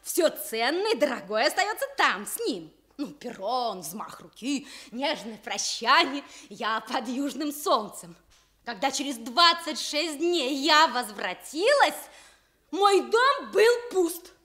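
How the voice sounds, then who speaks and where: Jilted woman, high swooping voice, melodramatic, indignant, lovelorn, dramatic pauses, radio comedy. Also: sing-song, bright, forceful tone, exaggerated voice. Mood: wistful, dramatic. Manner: theatrical, breathless storytelling with dramatic pauses. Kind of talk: stage comedy acting for radio.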